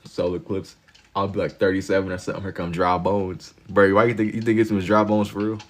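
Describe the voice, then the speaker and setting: A man in his twenties with a very deep voice hosts a livestream and chats with viewers. He talks in relaxed slang and turns loud and laughing when chat teases him. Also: fast. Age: 20s. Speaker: livestream host. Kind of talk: casual chat with viewers while drawing.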